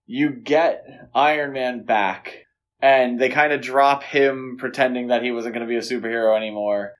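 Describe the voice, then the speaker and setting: A man's voice: medium pitch, steady tone, moderate pace. A man co-hosting a podcast, talking casually about films in a conversational way. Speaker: podcast co-host. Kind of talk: casual film discussion.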